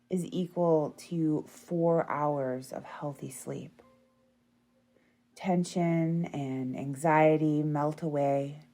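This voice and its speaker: A woman with a low-pitched, softly mellow voice guides a meditation. She speaks very slowly and gently, with a soothing, unhurried delivery suited to deep relaxation. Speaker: yoga nidra meditation guide.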